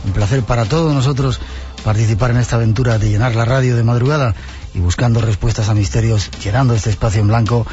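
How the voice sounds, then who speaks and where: Man from Spain, late-night radio host, quick studio delivery over a music bed, slightly dull sound. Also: medium pitch, fairly even intonation, warm tone. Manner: brisk, welcoming broadcaster delivery. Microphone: studio microphone. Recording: slightly dull broadcast audio.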